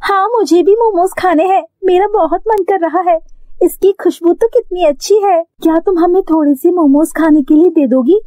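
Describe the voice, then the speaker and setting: A high, childlike cartoon voice of a poor little girl, acted by a woman. She is innocent, eager and pleading, recorded dry. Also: normal speed, mellow, no room sound. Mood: longing.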